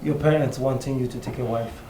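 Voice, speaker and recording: A man's voice: mid-range voice, fairly even intonation, fairly quick, dark tone, natural voice, low-quality recording. A man with a Nigerian accent playing an easygoing young crown prince in a naturalistic film drama. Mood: thoughtful, friendly. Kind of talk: naturalistic Nollywood film acting.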